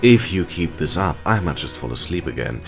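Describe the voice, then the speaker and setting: Very deep, haughty animal prosecutor. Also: male voice, sing-song, a little fast, creaky, over music, full character voice. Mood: scornful. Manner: theatrical, condescending. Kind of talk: courtroom audio drama acting.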